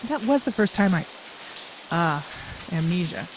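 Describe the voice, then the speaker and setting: Questioning companion, faint rain behind. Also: female voice, lowish voice, sing-song, unhurried, background noise. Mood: puzzled. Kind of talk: audio drama acting.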